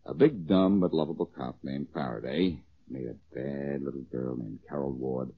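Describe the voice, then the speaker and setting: An actor playing a cocky, quick-witted amateur detective with wry self-assurance in a 1940s radio drama. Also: male voice, very deep voice, steady tone, gravelly, husky, full character voice. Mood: playful, teasing storytelling. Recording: dull old radio recording.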